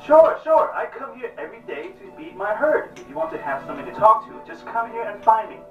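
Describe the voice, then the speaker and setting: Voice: mid-range voice, big pitch swings, quick pace, lightly acted. A performer playing a curious, friendly herd boy in a puppet show, with an exclamatory, wondering delivery. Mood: eager, friendly. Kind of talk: stage puppet show acting. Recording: distant echoey muffled stage recording with music.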